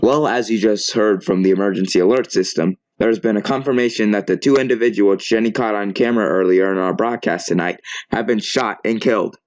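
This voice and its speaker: A man's voice: fairly low pitch, fairly quick, hardly any pauses, no room sound, lightly acted. A man playing a composed, earnest local news anchor reading a scripted broadcast. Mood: composed, serious.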